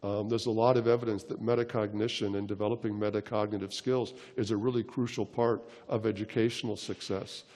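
An older man giving a conference keynote on stage into a headset mic, lecturing in a measured way with frequent ums and uhs. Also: fairly low pitch, little pitch movement, a little mellow, in a large hall. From United States. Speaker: keynote speaker. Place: large conference hall stage.

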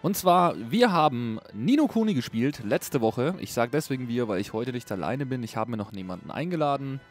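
A man's voice: mid-range voice, animated, fairly quick. A man hosting a casual, unscripted video game review in a chatty, informal way. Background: music under a voice-over.

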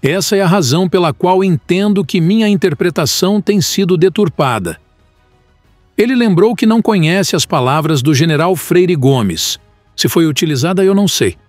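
A man's voice: sits high, expressive, moderate pace, no echo, soft background music. A man with a Brazilian accent reading a news report. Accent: Brazilian Portuguese.

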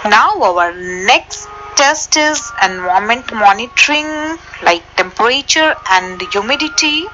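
Woman instructor, lively higher voice, slow deliberate pace, voice-over, music underneath. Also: poor audio. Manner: addresses listeners directly, step by step. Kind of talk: slide-based technical lesson. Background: background music.

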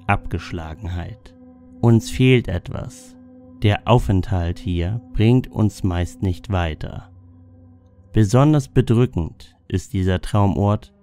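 A man reading scripted explanatory narration in a measured, solemn manner. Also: low-pitched, dark tone. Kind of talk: scripted narration of a dream-symbol lexicon. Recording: music bed under the voice. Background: background music.

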